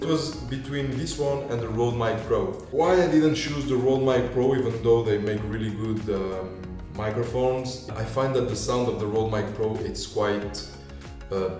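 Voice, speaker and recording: A deep-voiced man casually walking viewers through gear as a video creator, with non-native English phrasing. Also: measured pace, a little mellow. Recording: echoey room, far from mic, music behind. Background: music.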